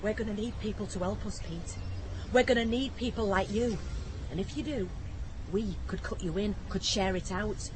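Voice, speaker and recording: An actress playing a bold, persuasive neighbour, teasing and assertive, in a radio drama. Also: female voice, medium pitch, moderate pace, a little hiss or hum, natural voice, poor audio.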